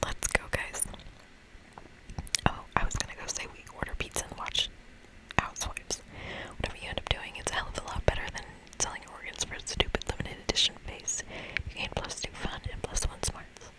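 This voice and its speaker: A woman whispering in a breathy, playful and casual way as she reads a video game aloud for ASMR. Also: brisk, low-quality recording. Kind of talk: whispered ASMR playthrough, reading dialogue and commenting.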